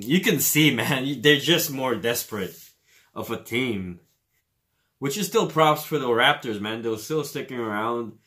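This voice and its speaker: A man with a Filipino accent giving casual commentary on a basketball game, filling pauses with "uh" and "man". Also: medium pitch, fairly bright tone. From Philippines. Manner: casual, many fillers, says "man" often. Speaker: fan commentator.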